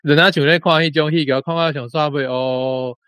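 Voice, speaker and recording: A man with a Taiwanese accent casually sharing his thoughts on a story as a guest, halting and repeating himself phrase by phrase. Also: fairly high pitch, steady tone, fairly bright tone, smooth, no echo. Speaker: book club guest. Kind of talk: casual spoken reflection on a story.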